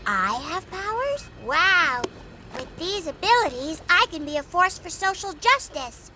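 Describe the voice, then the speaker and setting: A woman's voice: extremely high pitch, big pitch swings, a little slow, background noise, full character voice. A woman voicing a precocious, idealistic young cartoon girl with an eager, articulate, bookish manner.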